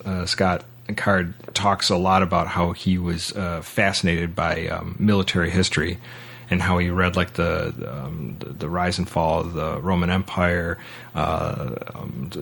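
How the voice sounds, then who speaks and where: A man hosting a podcast, casually discussing film and books in a halting way, often repeating words as he goes. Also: low-pitched, creaky, a bit bright, breathy edge. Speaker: podcast host.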